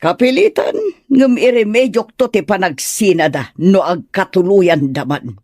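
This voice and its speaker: A woman's voice: medium pitch, unhurried, darkish tone, no echo, studio quality. A woman with a Filipino Ilocano accent acting a proud, disapproving middle-aged mother in an audio drama, haughty and complaining. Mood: reluctant, complaining. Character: disapproving, proud well-to-do mother opposing a wedding. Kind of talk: radio drama acting in dialogue.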